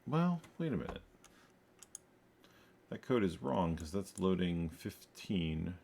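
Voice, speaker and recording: A man programming a game, thinking aloud while he codes, muttering to himself in halting, self-correcting bits. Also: low-pitched, very animated, vocal fry, darkish tone.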